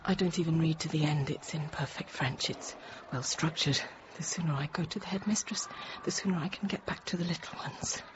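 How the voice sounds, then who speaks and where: An actress playing a frightened young nursery teacher, narrating in a halting, intimate, often hushed way. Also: female voice, low voice, lightly acted. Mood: tense. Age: 30s. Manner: halting, fragmented, often dropping to a hush. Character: frightened young Parisian nursery teacher recounting a siege, controlled. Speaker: radio drama actor, narrator. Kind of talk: intimate first-person dramatic monologue. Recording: dull, noisy radio drama recording.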